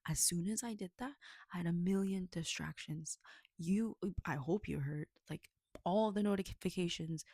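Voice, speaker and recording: Woman, low gravelly voice, casual and earnest, rambles and checks in with listeners; dry close recording. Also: normal speed, dark tone, no echo. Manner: rambling, earnest, frequent "okay?" check-ins. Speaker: storyteller reflecting on a fable. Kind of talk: casual spoken reflection to listeners.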